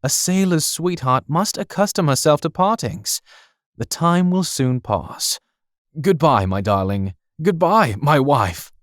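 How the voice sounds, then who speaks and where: An actor playing a cheerful, gallant young Victorian naval officer with a hearty, tender, theatrical manner in an audiobook drama. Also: male voice, a little high, expressive, unhurried, no echo, studio quality. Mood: gallant, fond.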